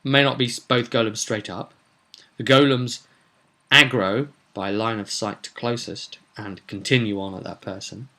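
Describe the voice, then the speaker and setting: Bright, forceful-voiced man talking tabletop games. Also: lowish voice, steady tone, normal speed. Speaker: game master, vlog host. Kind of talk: casual solo vlog talk on planning a tabletop game.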